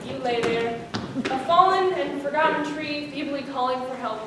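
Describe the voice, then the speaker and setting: Teenage female narrator for comic readers theater, high voice, projected and theatrical, recorded in a very echoey room. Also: normal speed. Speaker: student performer, narrator. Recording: echoey room, distant mic, faint noise.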